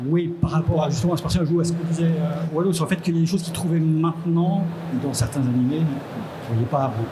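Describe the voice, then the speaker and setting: A middle-aged man speaks from the audience at a convention. He frames his question slowly and searches for the words. His voice is fairly high, and loud crowd noise surrounds him. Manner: slow, tentative questioning. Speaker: audience member asking a question. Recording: noisy live event ambience.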